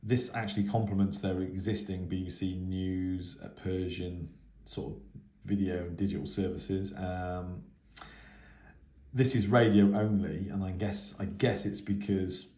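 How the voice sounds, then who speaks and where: A man giving a casual, unscripted radio hobby news update in a hesitant, halting way. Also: low voice, fairly even intonation, warm tone. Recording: echoey, roomy sound.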